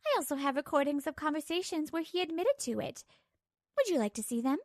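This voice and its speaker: High-voiced woman narrating a scripted story. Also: very animated, brisk, no echo, studio quality. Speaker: narrator and lead character.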